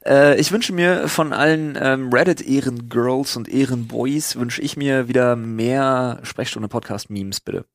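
A man's voice: medium pitch, unhurried, vocal fry, hardly any pauses, no room sound, clean studio sound. A man hosting a casual podcast among friends, talking in crude slang mixed with English phrases. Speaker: podcast host.

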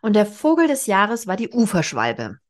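A woman's voice: medium pitch, huge pitch swings, moderate pace. Woman, forties, podcast host, mid-range voice, casual book talk, explanatory, thinking aloud. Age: 40s. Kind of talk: casual book discussion between co-hosts.

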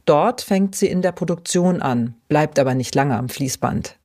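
A woman hosting a podcast, reading scripted narration in a clear, even way. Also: low-pitched, moderate pace. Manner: clear, even read-aloud delivery. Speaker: podcast host.

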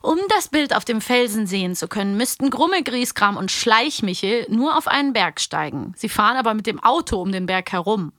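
Woman podcast host just chatting, mid pitch, dry close mic. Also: lively intonation, fairly quick, few pauses, bright, forceful tone, dry recording. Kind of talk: casual chat.

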